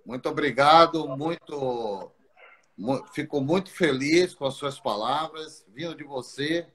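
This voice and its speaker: Slow, halting man hosting. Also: on the high side, fairly bright tone. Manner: slow, halting, repeats words. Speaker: interview host, football schools federation. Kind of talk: live online interview.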